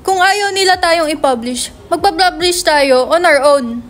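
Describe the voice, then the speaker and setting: A woman's voice: extremely high pitch, a little slow, a bit bright. A woman playing a brash, foul-mouthed young male writer in a dramatic script reading, slangy and insistent. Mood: angry, insistent.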